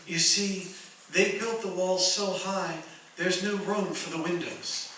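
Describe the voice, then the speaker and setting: A kindly, patient spirit played by a woman with a bright, theatrical delivery and a wistful gentleness. The old-style radio drama voice rings through a very echoey space, a little away from the mic. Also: fairly low pitch, fairly even intonation, takes it slowly. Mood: explaining, regretful. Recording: very echoey hall, distant mic, faint noise.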